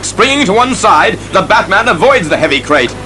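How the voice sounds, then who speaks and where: A man's voice: high-pitched, sing-song, normal speed, heavy background noise. A man narrating a superhero audio drama in a theatrical, suspenseful scripted style. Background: dramatic music.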